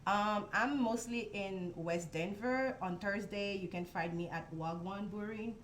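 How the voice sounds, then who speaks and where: A young woman from Haiti, a food truck owner, explaining her dishes in a studio interview with soft, halting speech and many pauses. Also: medium pitch, slight background noise. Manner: soft-spoken, explains step by step with many ums. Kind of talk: casual interview.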